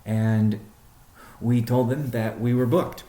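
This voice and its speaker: A man speaking as a company chief executive on a conference call, answering questions in a measured, deliberate, halting way. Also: mid-range voice, steady tone, mellow, reverberant.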